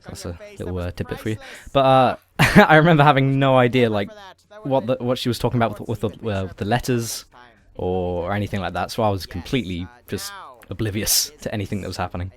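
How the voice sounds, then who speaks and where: A man chatting casually as a guest co-commentator on an animated series. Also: fairly low pitch, quick pace. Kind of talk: casual commentary track chat.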